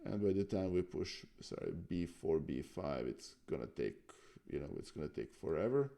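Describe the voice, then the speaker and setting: A man's voice: low-pitched, sing-song, normal speed, creaky, dark tone. A man whose first language is Serbian, thinking aloud through chess moves on a live stream, hesitant and full of fillers. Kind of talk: casual chess analysis on stream.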